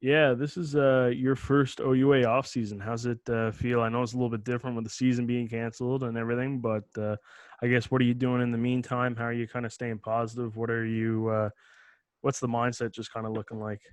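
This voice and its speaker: A man's voice: medium pitch, little pitch movement, fairly quick, smooth, no echo. A man hosting a podcast, asking loose, rambling questions with many "uh" fillers. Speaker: podcast host. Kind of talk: casual podcast interview.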